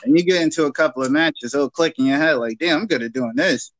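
A man's voice: on the high side, quick pace, a bit bright, poor audio. A man in his thirties chatting casually as a wrestler guest on a podcast, with slangy, sweary informal talk. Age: 30s.

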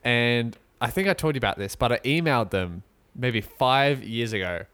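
A man co-hosting a podcast in casual chat, rambling and joking with halting restarts. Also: mid-range voice, fairly bright tone, clean tone. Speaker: podcast co-host. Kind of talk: casual chat, mock sponsor read.

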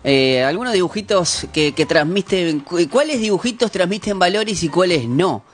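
A middle-aged man with a Uruguayan accent hosting a casual radio chat, halting as he searches for words. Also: sits high, lively intonation. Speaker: radio host. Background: music in the background.

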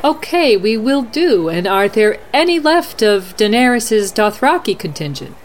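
A woman's voice: fairly high pitch, sing-song, a little slow, slight background noise. A woman playing a composed news anchor at war, putting formal questions to correspondents in a radio play. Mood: businesslike. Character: composed female news anchor covering a fantasy battle. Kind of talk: radio news broadcast roleplay.

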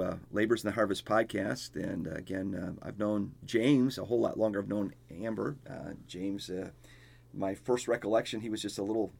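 A middle-aged or older man with a gravelly voice, speaking as a pastor hosting a podcast interview. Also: fairly low pitch. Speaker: podcast host and church pastor.

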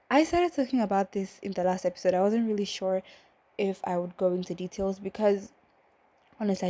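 A young woman in her twenties with a cold, talking casually on a faith podcast, rambling and apologetic and often sinking into a breathy near-whisper. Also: mid-range voice, dark tone, no echo. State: has a cold. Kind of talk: casual testimony podcast talk.

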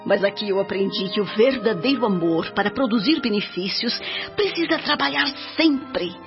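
A Brazilian woman acting a serene, devoted spirit mother in a radio drama, speaking in a solemn, measured way. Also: mid-range voice, expressive, close to an everyday voice. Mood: serene, earnest. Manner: solemn, measured, edifying delivery. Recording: dull lo-fi audio under music.

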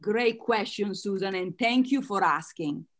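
A woman's voice: mid-range voice, a little slow, fairly bright tone. A woman with an Italian accent, answering interview questions earnestly and laughing lightly between points. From Italy. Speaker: guest author.

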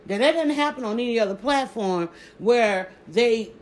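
Fast-talking Southern woman. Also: on the high side. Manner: rapid, stumbling restarts, animated emphasis. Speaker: social media creator. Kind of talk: casual talk on a live stream.

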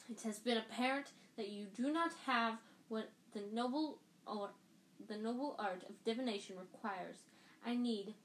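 A woman's voice: fairly high pitch, slight background noise, lightly acted. A woman voicing a dreamy, eccentric female divination teacher who murmurs breathily.

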